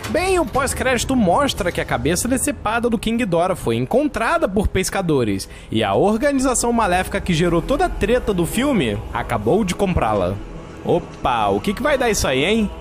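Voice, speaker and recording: A Brazilian man narrates a film recap in a casual, jokey tone. His voice sits high and swings widely in pitch. Music plays clearly under him. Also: very animated, normal speed. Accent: Brazilian Portuguese. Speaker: film reviewer. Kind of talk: scripted video narration.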